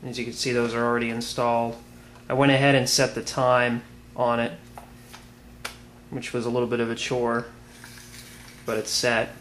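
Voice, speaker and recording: An American man giving an informal product demonstration as a video reviewer, plain-spoken and unhurried. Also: medium pitch, completely flat delivery, fairly bright tone. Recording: clearly noisy amateur video sound.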